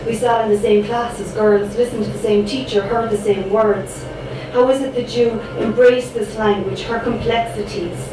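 A woman's voice: a little high, steady tone, normal speed, hardly any pauses. Young Irish woman, spoken word poet, rhythmic and dramatic, very echoey room, recorded from afar, noisy background. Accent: Irish, Cork. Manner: rhythmic, dramatic delivery with chatty asides between poems. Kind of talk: spoken word poetry performance. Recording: echoey room picked up from a distance, background noise.

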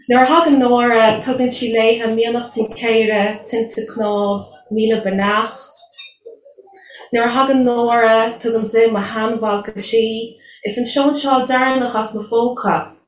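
Slow-spoken Irish woman reading poems. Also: fairly high pitch. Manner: hesitant, many fillers between readings. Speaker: poet. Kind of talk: live poetry reading with spoken introductions. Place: live reading venue. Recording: roomy distant live recording, faint noise.

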